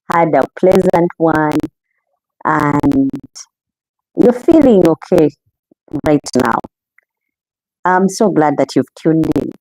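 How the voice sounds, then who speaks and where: Low-voiced woman hosting a live chat. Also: no room sound, poor audio. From Uganda. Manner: warm welcoming, chatty, talks straight to viewers. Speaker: podcast host. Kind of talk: casual live-stream chat.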